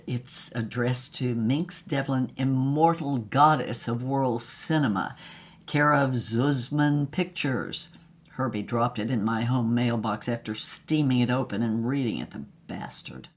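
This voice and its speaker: A woman's voice: a bass voice, a little slow. An actress playing a jaded fifties B-movie star, telling her story with dry, dramatic flair in an audio drama. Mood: wry, sardonic. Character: jaded fifties B-movie screen goddess, wry, world-weary memoirist. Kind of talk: audio drama first-person narration.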